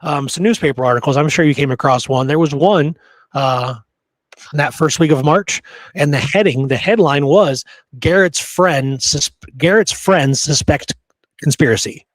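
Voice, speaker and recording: A man's voice: on the high side, normal speed, no echo, poor audio. A man hosting a podcast, talking casually about history in an emphatic, opinionated, rambling storytelling way. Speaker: podcast host.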